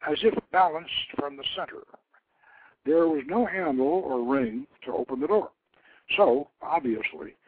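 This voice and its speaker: A man reading a legend aloud as scripted narration, in a measured, dramatic storytelling manner. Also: on the high side, slightly rough, dry recording. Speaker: host reading his own novelette. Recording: lo-fi, phone-like internet radio.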